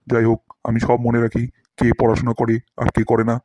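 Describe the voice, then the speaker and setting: Man acting a cartoon history teacher, strict, scolding, rapid speech, even pitch, bossy. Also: no room sound, lightly acted. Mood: stern. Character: strict, grumbling male school history teacher. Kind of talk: comedy cartoon dubbing.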